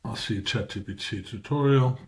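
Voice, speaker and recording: A man's voice: on the low side, normal speed, a little mellow. South African man explaining casually. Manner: informal, explanatory, self-correcting as he talks. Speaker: tutorial presenter. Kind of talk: casual screen-share tutorial.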